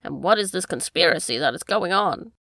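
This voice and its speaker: A woman doing a stern commanding gentleman. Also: medium pitch, very animated, a little fast, no room sound, clean studio sound. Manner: forceful, interrogating.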